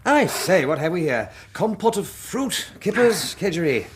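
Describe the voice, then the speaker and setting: Radio play casting: greedy, fussy English family lawyer, high-pitched, very animated, full of bluster. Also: male voice, unhurried, a little hiss or hum. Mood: hearty, greedy. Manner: jovial, blustery. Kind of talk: radio drama acting.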